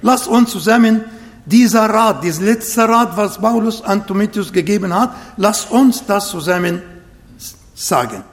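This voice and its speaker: Man, Arabic accent, high voice, slow deliberate sermon, church hall with long reverb. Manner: slow, emphatic, repeats phrases for the congregation. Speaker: preacher. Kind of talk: sermon with prayer. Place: church hall, livestreamed service.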